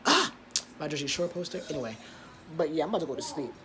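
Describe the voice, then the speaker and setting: A man's voice: fairly high pitch, very animated, fast talker, crowd noise. A young man chatting casually for his vlog in a laid-back, rambling way, filmed on a phone at arm's length. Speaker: vlogger. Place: bedroom, car and outdoors. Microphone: phone held at arm's length.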